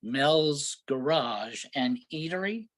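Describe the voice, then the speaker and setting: A man's voice: fairly high pitch, lively intonation, slow. A man playing an impatient, sarcastic, exasperated husband on vacation in an amateur staged play reading. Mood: incredulous, grudging. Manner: sarcastic, griping, incredulous.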